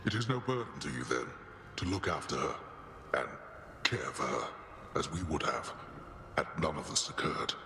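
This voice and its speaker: Stern old underworld god dad, deep voice, big echoey hall reverb, formal game acting. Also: male voice, sing-song, moderate pace, pauses often, pushed and bright, slight background noise, heavily acted. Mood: solemn. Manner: imperious, formal, archaic phrasing. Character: stern, world-weary immortal father, lord of the Underworld. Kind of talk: video game cutscene acting.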